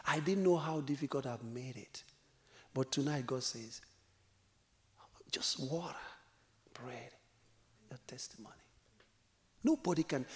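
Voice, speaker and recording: A high-pitched, very animated male preacher from Africa. His voice swoops from near whispers up to loud, bright exclamations, and he laughs often as he tells stories. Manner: laughs while telling a story. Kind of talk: sermon.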